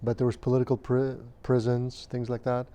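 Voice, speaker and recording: A man in his fifties, originally from Romania, speaks in a mellow, smooth voice. His delivery stays fairly flat and even, and he moves through his memories with measured hesitation and frequent fillers. He sounds like an interviewee looking back on his childhood. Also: mid-range voice. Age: 50s.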